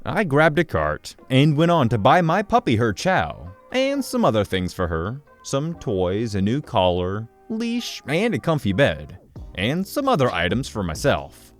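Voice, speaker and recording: Animated man telling a story. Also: mid-range voice, measured pace. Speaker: story narrator. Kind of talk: reading an online story aloud. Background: soft background music.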